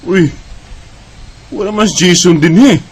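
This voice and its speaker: A man plays a young, protective big brother in a Bisaya radio drama. His voice sits fairly high for a man, and his delivery is casual and bossy. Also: slow, husky, heavily acted. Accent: Filipino, Bisaya.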